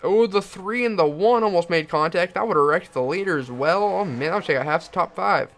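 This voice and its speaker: A young man giving excited play-by-play commentary on a video game car race and hosting his channel, getting hoarse as he goes. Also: sits high, brisk. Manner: builds to shouting excitement at the finish.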